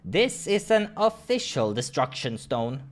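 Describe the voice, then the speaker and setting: Scholarly male game adventurer, voiced by a man, serious and deliberate, with wide emphatic pitch swings. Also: big pitch swings. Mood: intent, explaining.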